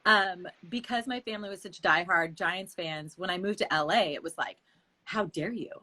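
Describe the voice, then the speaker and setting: Bright-voiced animated woman storyteller. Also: lowish voice, moderate pace. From San Francisco Bay Area. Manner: animated storyteller, candid, laughs often. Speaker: guest, real estate agent. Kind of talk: casual interview chat.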